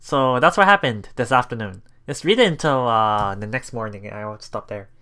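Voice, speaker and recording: A woman casually reading and reacting to a visual novel as a let's play streamer, giggly, excitable and expressive. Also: a bass voice, normal speed, clean tone.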